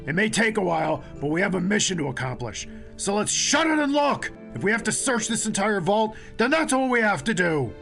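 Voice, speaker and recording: A man voicing a stern, commanding military officer with a gravelly rasp in a space audio drama. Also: sits high, sing-song, a little fast, fairly bright tone, faint music behind, heavily acted. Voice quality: raspy, gravelly. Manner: commanding, clipped, formal.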